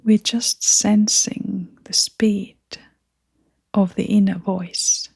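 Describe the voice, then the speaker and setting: Female meditation guide. Hushed, airy delivery at a very unhurried pace, gentle and calming, with generous pauses. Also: mid-range voice, no room sound, studio quality. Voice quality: soft, breathy, often near-whispering. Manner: gentle, soothing, with long pauses.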